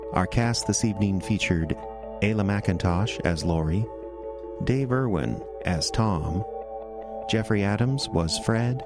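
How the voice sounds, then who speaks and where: A man reading scripted radio drama credits as an announcer, in a measured, formal way. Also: low-pitched. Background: music.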